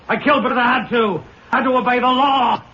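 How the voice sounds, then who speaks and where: An actor playing a brash, taunting young murder defendant in a 1970s radio drama, slangy and excitable. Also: male voice, very high-pitched, fast, slight background noise, full character voice. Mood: manic, defiant. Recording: dull lo-fi 1970s radio recording, crowd murmur.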